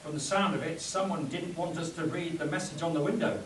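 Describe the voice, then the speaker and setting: A man's voice: on the high side, fairly quick, far from the mic, lightly acted. A man playing an earnest, commanding pulp adventure hero in a spoof radio play, stumbling over his script. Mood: urgent, commanding. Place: convention stage before an audience. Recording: echoey convention room from afar, audience noise.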